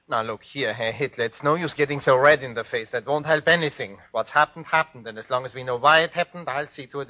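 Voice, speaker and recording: An actor playing a placating, officious Nazi security chief in a 1940s radio drama. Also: male voice, mid-range voice, sharp tone, close to an everyday voice. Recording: dull vintage 1940s radio recording.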